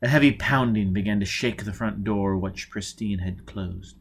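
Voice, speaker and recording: A man's voice: on the low side, moderate pace, gravelly, slightly rough. A man reading a novel aloud as scripted audiobook narration. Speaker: audiobook reader.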